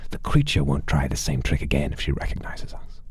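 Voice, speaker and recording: A man voicing a sly, sarcastic, calculating demon negotiator in a told story, measured, hushed and theatrical. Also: extremely low pitch, sing-song, slightly rough, no room sound, full character voice. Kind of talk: acted dialogue within a story.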